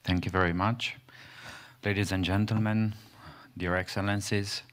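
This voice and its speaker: Deep-voiced man, halting speech. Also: level tone, fairly bright tone. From Romania. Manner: halting, many pauses and restarts. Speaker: panel speaker, forest activist. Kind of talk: conference panel remarks. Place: conference hall.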